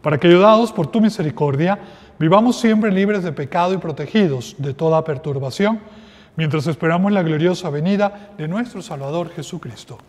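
Middle aged priest saying mass prayers, slow and solemn, slightly high voice, long chapel echo. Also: male voice, a little mellow. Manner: slow, solemn liturgical recitation. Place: small chapel with altar.

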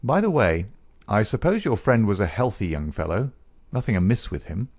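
A man reading aloud, voicing a calm, incisive male detective who questions in a measured, dry manner. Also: lowish voice, no echo. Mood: probing.

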